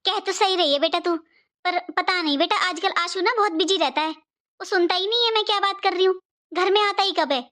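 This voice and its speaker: A woman's voice: very high-pitched, brisk, bright, forceful tone. A woman playing a middle-aged mother-in-law in a cartoon family drama, a fretful matriarch who talks in a rambling, repetitive, motherly way.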